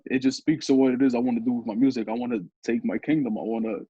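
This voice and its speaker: Young male guest, deep husky voice, laid-back rambling talk, dull recording. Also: very fast, dry recording. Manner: relaxed, rambling with restarts and laughs. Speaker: interview guest, musician. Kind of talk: casual interview talk.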